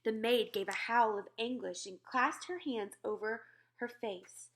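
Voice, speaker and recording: A woman narrating a children's storybook aloud in an expressive style. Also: a little high, unhurried.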